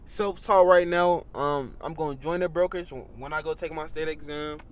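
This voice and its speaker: Brief: young man, 18, high-pitched, animated, casual podcast guest. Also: normal speed, slight background noise. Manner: animated, repeats "you know what I mean" and "bro". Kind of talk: casual chat.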